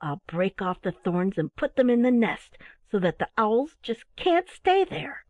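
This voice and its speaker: A woman voicing a small, mischievous boy playing at being an elf, in a drawn-out sing-song storybook manner. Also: mid-range voice, very animated, a little mellow, no room sound. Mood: scheming.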